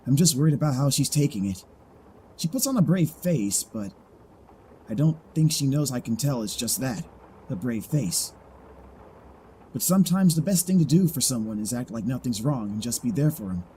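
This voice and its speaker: A man plays a laid-back, caring young male survivor in an audio drama. His voice is mellow with lively swings in pitch, casual and sincere, over background noise. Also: moderate pace, close to an everyday voice, low-quality recording. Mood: worried, sincere.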